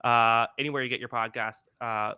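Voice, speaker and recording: Fast-talking bright-voiced young man. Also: steady tone, smooth, no room sound, clean studio sound. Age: 20s. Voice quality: higher-pitched voice. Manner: rambling, many fillers and restarts. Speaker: podcast host. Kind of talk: casual podcast chat.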